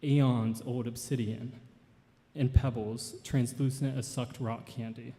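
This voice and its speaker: Young man, 20s, grandson eulogizing, measured and earnest; mellow tone, reverberant church hall. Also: medium pitch, steady tone. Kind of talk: memorial tribute and poetry reading.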